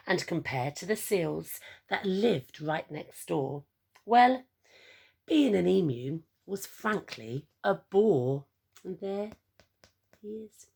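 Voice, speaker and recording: Female children's storyteller: lowish, husky voice, unhurried and animated, with encouraging asides to young listeners. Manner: theatrical, encouraging questions to listeners. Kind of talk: expressive picture-book read-aloud with asides to young listeners.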